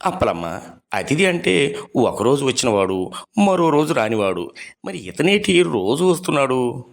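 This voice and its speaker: A man playing a mild, dutiful village husband in a moral storybook tale, speaking earnestly and deliberately. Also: mid-range voice, very animated, gravelly. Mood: troubled, resigned. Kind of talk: Telugu moral story voice acting.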